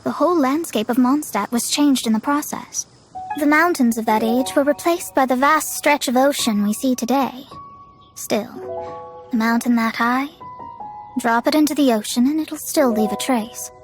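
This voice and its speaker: A woman's voice: sits high, normal speed, close to an everyday voice. A woman playing a proud, bookish young astrologer in a video game, articulate, haughty and dramatic, with music behind. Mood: explanatory. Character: proud, bookish young female astrologer, self-assured and fiery. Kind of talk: scripted video game voice acting.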